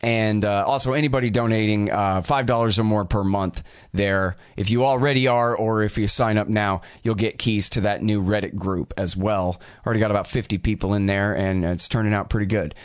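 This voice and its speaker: A man hosting a talk show, chatting loosely with fillers and quick asides. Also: lowish voice, fairly even intonation, dry recording, studio quality. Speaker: podcast host. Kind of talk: casual interview talk.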